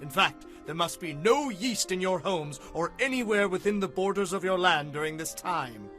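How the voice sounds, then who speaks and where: Casting: male actor as solemn, fervent biblical prophet; raised, forceful voice, declamatory, music underneath. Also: high-pitched, animated, moderate pace. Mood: solemn, exhorting. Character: Moses, fervent male prophet solemnly addressing his people. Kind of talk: dramatized Bible reading.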